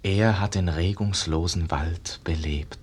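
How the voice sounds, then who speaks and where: An actor playing a brooding painter's pupil in a 1950s German radio verse drama, declaiming with solemn, poetic intensity. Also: male voice, deep voice, unhurried, a little hiss or hum. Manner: solemn poetic declamation, swelling from hush to rapture. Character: brooding, world-weary male Renaissance painter's pupil.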